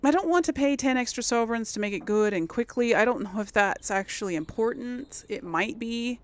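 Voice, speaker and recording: A woman's voice: on the high side, fairly quick, no room sound. A woman giving casual video game commentary as a host, chatty and hesitant as she thinks aloud.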